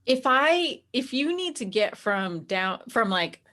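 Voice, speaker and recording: An American woman chatting casually as an interview guest, animated and anecdotal. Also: fairly high pitch, slow. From New Jersey, United States. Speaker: interview guest, actor and game master. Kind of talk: casual chat.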